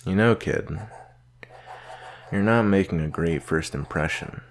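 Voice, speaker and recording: A man playing a stern, solitary research doctor in an audio roleplay, speaking in a measured, dry, curt manner with a gravelly voice. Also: deep voice. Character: gruff male researcher doctor, solitary, stern but patient mentor. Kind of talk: slow audio roleplay acting addressed to the listener.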